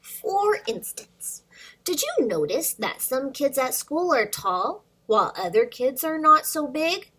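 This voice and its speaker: Slow-paced, higher-voiced woman reading to children. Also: very animated, sharp tone. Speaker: children's story time reader and teacher. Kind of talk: informal talk and storybook read-aloud for children.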